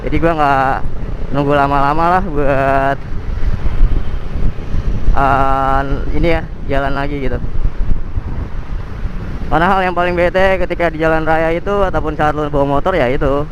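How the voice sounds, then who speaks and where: A man's voice: fairly high pitch, fairly even intonation, normal speed, pauses often, dull audio. A young man, chatty and slangy, narrating a vlog while riding, his voice nasal and congested from a cold. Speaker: motovlogger. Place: on a motorcycle in city traffic. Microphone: helmet or action cam mic. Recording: wind and traffic noise.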